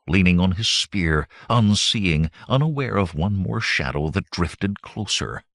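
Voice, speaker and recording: Deep, expressive male narrator. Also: gravelly, dry recording, studio quality. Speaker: audiobook narrator. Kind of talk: dramatic scripted narration of fantasy adventure fiction.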